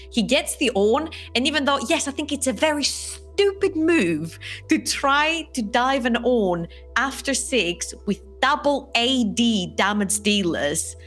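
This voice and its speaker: A woman's voice: mid-range voice, a bit bright. A young woman casting esports from home, giving emphatic and opinionated analysis. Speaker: esports caster. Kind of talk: casual analysis chat. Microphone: desk mic on a webcam call.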